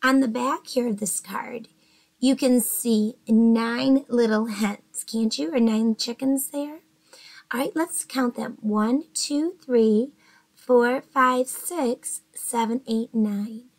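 A woman's voice: a little high, slow, rough recording. Encouraging woman teaching young children. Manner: gentle, encouraging, asks children questions. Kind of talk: early-grades math lesson to children. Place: bedroom at home, indoors.

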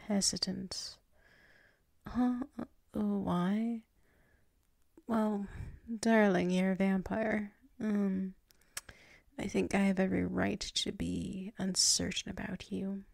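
A woman playing a sleepy, submissive yet proud tsundere werewolf in an intimate ASMR roleplay, stammering softly and often slipping into whispers. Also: medium pitch, vocal fry, dry recording, studio quality. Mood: sleepy, reluctantly affectionate.

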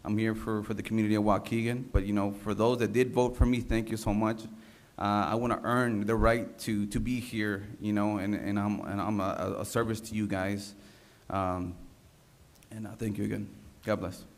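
A man in his thirties, a city council member, giving an informal, halting speech of thanks at a public meeting. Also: on the low side, little pitch movement. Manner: halting, unpolished, repeats himself. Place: large council chamber, indoors. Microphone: gooseneck desk microphone.

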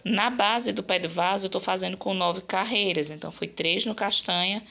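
A slightly hoarse woman with a Brazilian accent, explaining her craft work in a chatty, casual vlog style. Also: medium pitch, moderate pace, pushed and bright. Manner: chatty, explanatory, frequent check-in tags. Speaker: crochet channel host.

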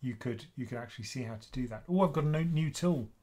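A soft-spoken British man in his fifties answers viewers' questions live from a webcam at his desk. His delivery is casual and chatty, sitting in the middle of the usual range for a male voice, and it is recorded in a small room at home. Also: medium pitch, expressive, fast. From United Kingdom. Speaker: investing channel host. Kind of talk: live Q&A stream.

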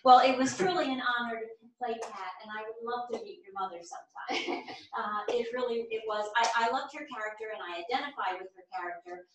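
A woman, a stage actress, reflecting informally on her role in a halting way with restarted phrases. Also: on the high side. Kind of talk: informal post-performance reflection. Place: small theatre stage, distant camera. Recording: echoey, distant theatre recording, some noise.

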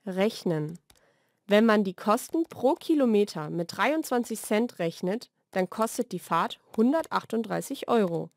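A woman reads short scripted vocabulary lesson sentences in a clearly enunciated, measured way. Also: mid-range voice, lively intonation, darkish tone, no echo, clean studio sound. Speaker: language lesson narrator. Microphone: studio voice-over recording.

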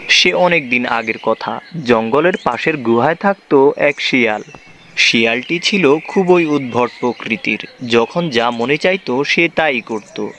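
A man's voice: medium pitch, expressive, unhurried, fairly bright tone, a little hiss or hum. A man narrating a scripted children's folk tale.

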